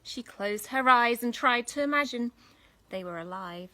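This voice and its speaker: A woman reading a children's story expressively as a school storyteller, with dramatic, deliberate phrasing for young listeners. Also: fairly high pitch, lively intonation, bright, forceful tone.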